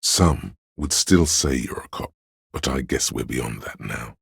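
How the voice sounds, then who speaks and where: A man voicing a brooding inner voice that narrates a police drama in a game, with a very deep, gravelly, husky delivery, measured and solemn. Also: animated, fairly bright tone, dry recording, clean studio sound, heavily acted. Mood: tense, urgent. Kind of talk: literary scripted narration.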